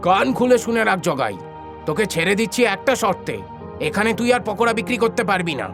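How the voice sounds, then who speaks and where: An angry, stern customer in a cartoon tale, played by a man, scolding with indignation. His pitch swings widely, and faint music plays underneath. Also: expressive, brisk. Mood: angry, threatening. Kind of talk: cartoon story voice acting.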